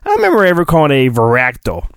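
A man's voice: a little high, wildly swooping pitch, very fast, dry recording, studio quality. A male podcast host giving a mock-dramatic storybook reading, with hammy emphasis and rambling joking asides. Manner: hammy, exaggerated emphasis, digressive.

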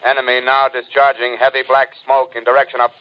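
An actor playing an urgent, dogged radio operator in a 1930s radio drama, with clipped, repetitive delivery. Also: male voice, mid-range voice, level tone, fairly quick, natural voice. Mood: urgent, official. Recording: muffled 1930s radio broadcast.

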